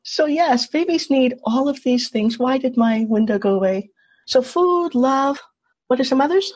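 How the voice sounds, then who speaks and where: Husky-voiced woman leading a workshop. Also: sits high, animated, normal speed, darkish tone. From Trinidad. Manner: engaging, asks the group questions. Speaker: workshop facilitator. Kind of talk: online workshop teaching. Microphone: video call.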